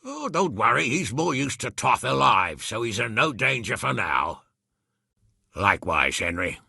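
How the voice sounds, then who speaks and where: A hot-tempered medieval lord and commander from a video game. His voice is very forceful and hard-edged, gravelly and husky, with blunt, blustering delivery. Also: mid-range voice, sing-song, measured pace, dry recording, studio quality, exaggerated voice. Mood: grave, weary. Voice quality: raspy, gravelly. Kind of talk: dramatic video game voice acting.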